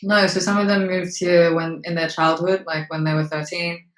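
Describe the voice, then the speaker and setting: A woman's voice: fairly low pitch, level tone, moderate pace. A young woman from Australia, an actress being interviewed, speaking frankly with casual swearing and many fillers. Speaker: actress and model, interview guest. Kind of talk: candid interview conversation. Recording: roomy echo.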